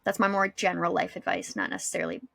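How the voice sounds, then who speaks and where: A woman's voice: lowish voice, level tone, quick pace, vocal fry, a bit bright, no echo, studio quality. A woman in her thirties co-hosting a podcast in casual, reflective chat, explaining ideas and rephrasing them as she thinks aloud. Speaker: podcast co-host; school psychologist.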